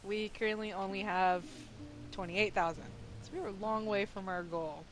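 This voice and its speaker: A woman's voice: medium pitch, steady tone, normal speed, faint music behind. A woman who keeps the guild bank, giving practical updates in a casual voice chat with frequent fillers.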